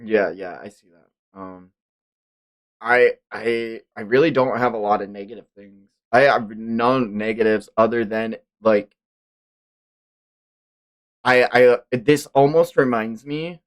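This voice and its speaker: Man, mid-pitched voice, casual streamer chat, slangy, sweary, hyperbolic fan host. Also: slow pace, long pauses. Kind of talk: casual livestream chat about manga.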